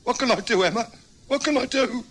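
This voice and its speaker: A man's voice: very high voice, a little fast, a bit bright. A man with an English accent acting a guilt-ridden older husband who hides a killing, in a radio drama, speaking in a frantic, stammering way. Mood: confessing. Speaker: husband George.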